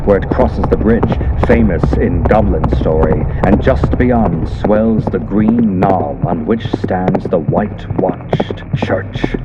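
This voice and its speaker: A man's voice: medium pitch, heavy background noise. A man narrating a scripted story in the theatrical, suspenseful style of classic radio horror drama, with emphatic delivery. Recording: dull lo-fi audio under loud sound effects. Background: loud sound effects of ambience and horse hooves.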